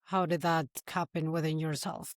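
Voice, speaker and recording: A woman hosting a podcast interview, opening with a measured scripted introduction. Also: deep voice, steady tone, dry recording, clean studio sound. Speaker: podcast host.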